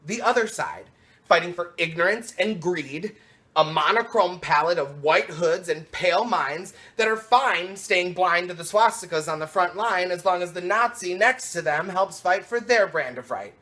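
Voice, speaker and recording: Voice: medium pitch, normal speed, sharp tone. A slam poet performing rhymed spoken word with an emphatic, dramatic and rhythmic delivery.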